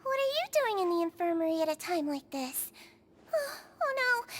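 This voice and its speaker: Tiny, very high, sweet girlish game character. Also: moderate pace, a little hiss or hum, full character voice. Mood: sweet, attentive. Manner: cute, expressive, girlish. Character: small, sweet, childlike female head nurse or excitable companion. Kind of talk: video game voice acting. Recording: game music behind.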